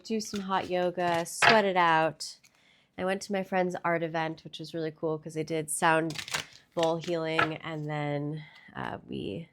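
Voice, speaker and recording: A woman's voice: on the low side, a little hiss or hum. A young woman, a bit congested from a cold, giving a chatty, upbeat tarot reading to her viewers. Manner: chatty, upbeat, with fillers and little laughs. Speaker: tarot reader. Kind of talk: casual tarot reading to camera.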